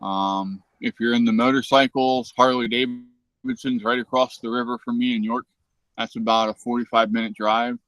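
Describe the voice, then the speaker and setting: A man hosting a casual livestream, easygoing and relaxed, reading out the chat and swearing now and then. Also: mid-range voice, normal speed, dry recording. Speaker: livestream host.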